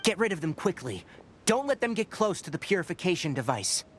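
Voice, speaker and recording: An actor voicing a young forest ranger scholar who explains things in a measured, matter-of-fact way. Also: male voice, high-pitched, very animated, noisy background. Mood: alert.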